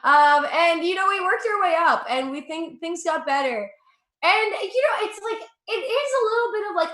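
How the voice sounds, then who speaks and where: A woman's voice: high-pitched, pushed and bright, reverberant. A young woman from Russia with an American accent doing a chatty, profane stand-up set, laughing at her own jokes. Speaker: stand-up comedian.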